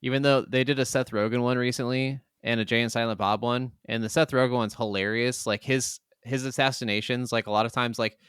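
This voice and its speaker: A man's voice: medium pitch, fairly quick, hardly any pauses, clear, resonant tone, no room sound, clean studio sound. A man co-hosting a podcast, chatting casually about video games and telling stories animatedly with plenty of swearing. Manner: animated storyteller, swears freely. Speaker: podcast co-host.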